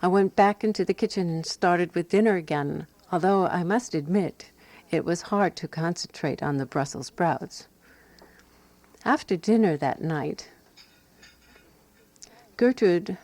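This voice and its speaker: An actress playing an exasperated, devoted woman companion who narrates a radio drama murder mystery in a measured, wry way. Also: fairly low pitch, a little mellow, no echo. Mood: dry, reproachful.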